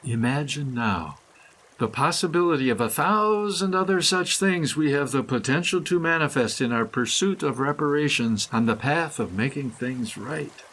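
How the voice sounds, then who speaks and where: A man reading a scripted, poetic guided meditation in measured, deliberate phrases with pauses. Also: medium pitch, lively intonation, a bit bright, poor audio. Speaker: guided meditation reader, author.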